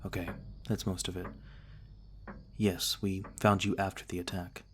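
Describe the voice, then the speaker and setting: A man playing a courteous, cautious noble vampire lord in an ASMR roleplay, speaking in a formal, gentle, measured way. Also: deep voice, flat delivery, slight background noise. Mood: calm, guarded courtesy.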